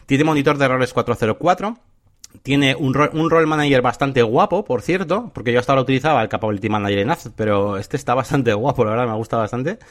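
A man's voice: medium pitch, quick pace. A man co-hosting a tech podcast. He runs through a list of points with frequent fillers and check-ins. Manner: enthusiastic, listy, frequent "eh" and "¿vale?". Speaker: podcast co-host. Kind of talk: casual tech podcast chat.

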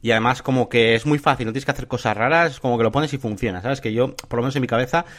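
A man talks through a list of points as a podcast co-host. He keeps checking in with his listeners and fills pauses with "eh". His voice sits at a middling pitch. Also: fairly bright tone. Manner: enthusiastic, listy, frequent "eh" and "¿vale?". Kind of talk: casual tech podcast chat.

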